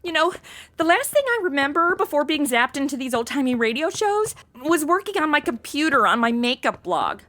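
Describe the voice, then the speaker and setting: A high, bright voice that swings all over the place as a woman acts out a flighty young American ex-cheerleader. She is self-absorbed and melodramatic, talking in modern slang in an audio comedy. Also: very animated, brisk, heavily acted. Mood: chatty, defensive. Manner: dramatic modern slangy delivery. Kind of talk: comedy audio drama acting.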